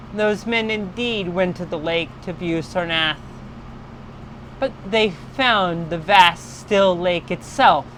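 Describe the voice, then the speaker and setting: A woman narrating a horror story in a theatrical, deliberate way, swelling in intensity as a livestream storyteller. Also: medium pitch, slight background noise, low-quality recording. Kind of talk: dramatic horror story reading.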